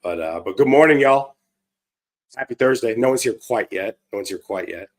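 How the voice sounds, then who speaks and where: A man hosting a live show, hoarse from a cold, upbeat and chatty with lots of fillers and restarts. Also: lowish voice, animated, normal speed. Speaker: livestream show host. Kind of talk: casual live-stream chat.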